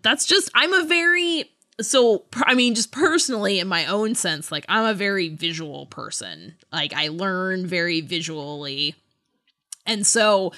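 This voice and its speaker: A lively, bright woman's voice with plenty of movement in pitch. She talks like a podcast co-host in a casual chat, giggling through her words. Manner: giggly, laughs while talking. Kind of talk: casual book-review chat.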